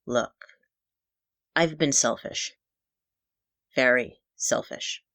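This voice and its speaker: A woman's voice: very deep voice, extremely slow, a bit bright, no echo, pitched below the performer's own voice, heavily acted. Woman acting a guarded, wounded father, close and dry. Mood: hesitant, conciliatory. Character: middle-aged father, guarded, wounded, apologetic. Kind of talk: dramatic character dialogue in a narrated audio story.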